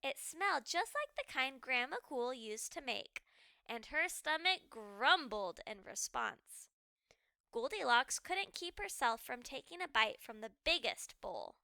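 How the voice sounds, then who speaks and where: High, animated woman reading a storybook. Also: vocal fry, no echo, clean studio sound. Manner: dramatic, animated, deliberate phrasing for children. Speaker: children's read-aloud storyteller. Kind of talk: expressive scripted storybook narration.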